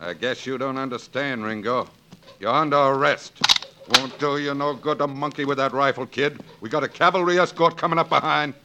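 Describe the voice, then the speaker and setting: A man's voice: medium pitch, a little fast, close to an everyday voice. A man playing a tough, determined frontier marshal in 1940s radio acting, plain-spoken and folksy with an American accent. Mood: firm. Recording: noisy old 1940s radio recording.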